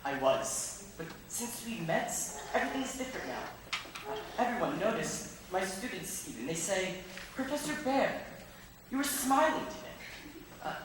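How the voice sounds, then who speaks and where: A man acting an awkward, earnest older professor in an amateur stage musical, speaking haltingly. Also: high voice, big pitch swings, noisy background. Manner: halting, hesitant, earnest delivery. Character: awkward, earnest, patient older male German professor in love. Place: school theatre stage, distant microphone. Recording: echoey school stage from far off, music.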